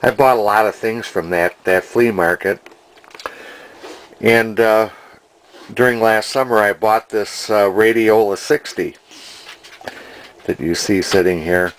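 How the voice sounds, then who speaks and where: A man, talking casually and unscripted as a collector in a deliberate, plainspoken, folksy way. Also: fairly low pitch, slight background noise. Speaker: antique radio collector and restorer. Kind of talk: casual unscripted video narration.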